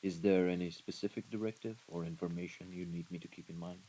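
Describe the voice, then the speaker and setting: A man playing a composed, menacing mafia right-hand man in an audio roleplay, speaking in a formal, measured way. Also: low voice, fairly even intonation, mellow, husky, poor audio. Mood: calm, deferential. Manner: formal, measured, deliberate wording. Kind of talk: scripted audio roleplay acting. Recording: rain and ambience behind the voice.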